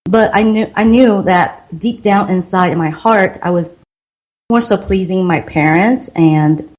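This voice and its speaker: Middle-aged woman, Taiwanese accent, second-language English, slightly low voice, earnest storyteller. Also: a little mellow. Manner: earnest, reflective, slow and careful. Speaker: guest, marketing consultant. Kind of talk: podcast interview storytelling.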